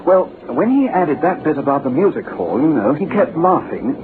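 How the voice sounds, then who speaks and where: An earnest young clerk, lively pitch. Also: male voice, moderate pace. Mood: puzzled, earnest. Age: young adult. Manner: deferential, hesitant. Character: earnest, polite young English clerk, eager but puzzled. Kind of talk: old-time radio drama acting. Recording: lo-fi narrow-band radio recording, clear noise.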